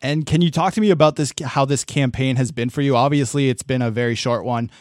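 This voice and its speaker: A man hosts a radio interview in a mid-pitched, ordinary voice. He is recorded close and dry, with no room sound. He asks his questions haltingly, leaning on uh and restarts. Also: fairly even intonation, dry recording. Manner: hesitant, with uh and repeated words.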